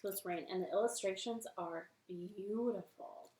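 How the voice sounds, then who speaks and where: A woman's voice: medium pitch, normal speed, darkish tone, roomy sound, a little hiss or hum. A woman hosting a library storytime, reading to children in a cheerful, sing-song way from her living room.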